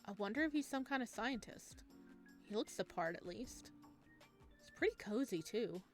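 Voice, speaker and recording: Expressive, higher-voiced woman playing games. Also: moderate pace. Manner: giggly, chatty, expressive reactions. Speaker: gaming video host reading a visual novel aloud. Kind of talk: playful let's play narration and commentary. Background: game music.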